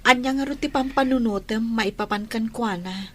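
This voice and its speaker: A woman's voice: fairly high pitch, moderate pace, a bit bright, close to an everyday voice. A woman playing a caring, probing young wife in a radio drama. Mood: concerned, probing. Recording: faint background ambience noise.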